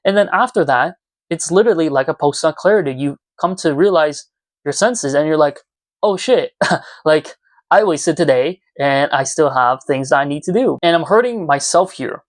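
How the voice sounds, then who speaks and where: Young guy around 20, kinda higher voice, casual heartfelt vlog talk, really dry room sound no echo. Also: animated, normal speed. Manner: earnest, self-reflective. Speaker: YouTuber. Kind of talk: confessional casual vlog, talking to viewers. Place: small room at home, indoors.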